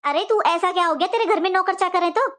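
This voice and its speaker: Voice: extremely high pitch, fast talker, a bit bright. A performer voicing an anxious, morally stern middle-aged mother in a cartoon moral story, emotional and reproachful. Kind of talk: animated moral story dialogue.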